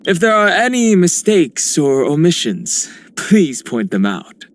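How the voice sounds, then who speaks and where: A man's voice: a little high, sing-song, unhurried. A man voicing a composed, courteous guardian hero in a video game, speaking formally and politely. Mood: polite, thoughtful. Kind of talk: scripted game character lines.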